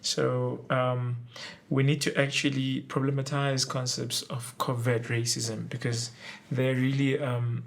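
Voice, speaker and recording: A man, an academic, answers interview questions in a lecturing tone. His voice is mid-pitched, and he often stops to hesitate. He builds his arguments slowly. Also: vocal fry. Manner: lecturing, with frequent "um" pauses. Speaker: academic and PhD researcher. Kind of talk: documentary interview.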